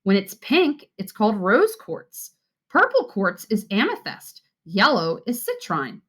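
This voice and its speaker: A kindly storybook father, voiced by a woman reading aloud, explains patiently and instructively. The delivery is slow and careful, with lively pitch on the key words. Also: full character voice.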